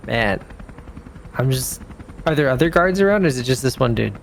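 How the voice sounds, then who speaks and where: A man with a middling-pitched voice talks as a player in a tabletop game. He is casual and slangy, working out his descriptions aloud. Some background noise sits under his voice. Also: lively intonation, moderate pace, creaky. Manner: colloquial, profane, thinking aloud. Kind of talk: casual improvised game talk.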